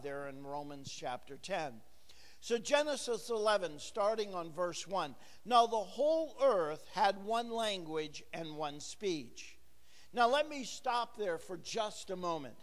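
A man's voice: sits high, in a large hall. A middle-aged man preaching a sermon as pastor, conversational and joking with the congregation, full of rhetorical questions, on a microphone in a church. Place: church sanctuary. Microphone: handheld or lapel microphone, recorded for a booth.

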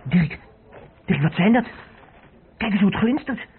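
A man acting an eager, naive young driver's mate in a Dutch radio play, boyish and excitable. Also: high voice, unhurried. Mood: excited. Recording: tinny old radio recording, busy café noise.

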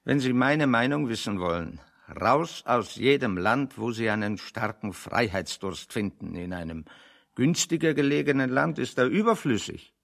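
Gravelly, sardonic, opinionated man. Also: medium pitch, animated, moderate pace, a bit bright. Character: dry, opinionated man reasoning with sardonic logic. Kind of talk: German radio play dialogue.

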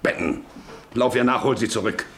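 A man's voice: medium pitch, moderate pace, background noise, natural voice. An actor in a German radio drama playing a stern, domineering middle-aged farmer father who speaks bluntly and commandingly. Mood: angry, domineering. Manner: commanding, blunt, cutting others short.

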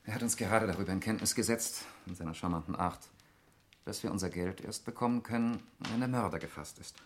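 Actor as testy low-voiced lawyer, noisy old recording. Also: male voice, a little fast, natural voice. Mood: suspicious, scornful. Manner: testy, precise, argumentative. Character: irritable, suspicious male lawyer, adopted brother in murder mystery. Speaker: radio play actor. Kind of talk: 1960s German radio play acting. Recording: noisy 1960s radio recording with clicks.

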